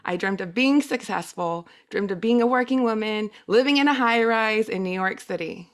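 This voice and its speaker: A woman's voice: mid-range voice, normal speed. A middle-aged woman whose first language is Spanish, giving a personal testimonial talk as a guest speaker in an earnest, emphatic manner.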